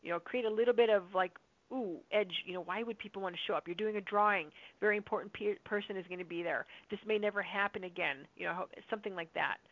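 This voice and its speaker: Woman host answering q's on a phone call, kinda hoarse, chatty w lots of ums, tinny dry line. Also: medium pitch, fast talker, no room sound. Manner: chatty, upbeat, many fillers and asides. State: slightly hoarse from long talking. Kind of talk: casual question-and-answer call. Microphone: phone or conference line.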